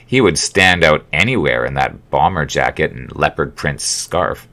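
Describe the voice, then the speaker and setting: Male author-narrator, low-pitched, for a memoir audiobook. Measured delivery with dry, wry humor. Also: a bit bright. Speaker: author reading his own memoir. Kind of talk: audiobook narration, scripted storytelling.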